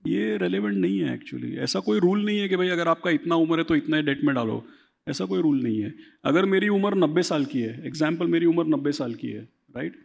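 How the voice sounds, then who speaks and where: Brief: male finance expert, gravelly, higher-pitched, explanatory, reverberant room. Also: lively intonation, a little fast, darkish tone. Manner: explanatory, seeks agreement with repeated tag questions. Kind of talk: conversational interview, Hindi-English mix.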